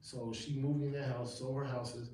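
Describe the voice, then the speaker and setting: A man in his thirties rambles casually through a livestream interview, full of slang and restarts. His voice sits at an ordinary middle pitch. It echoes heavily around the room, and the mic sits well away from him, with a faint hiss underneath. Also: little pitch movement, moderate pace, mellow, recorded from a distance. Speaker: gospel rapper guest.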